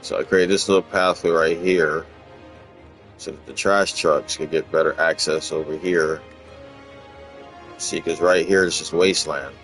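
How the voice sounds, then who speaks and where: Very deep-voiced relaxed gamer. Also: male voice, measured pace, long pauses, faint music behind. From Los Angeles, USA. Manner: relaxed, rambling, repeats words, chuckles. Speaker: gaming channel host. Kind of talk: casual gameplay walkthrough commentary.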